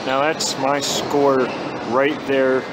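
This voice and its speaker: A man's voice: mid-range voice, little pitch movement, takes it slowly. A young man talking straight to his viewers in a plain, earnest way while filming himself bowling. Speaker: vlogger. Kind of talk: casual vlog narration. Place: indoor bowling alley. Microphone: phone camera held at arm's length. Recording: phone video with loud alley noise.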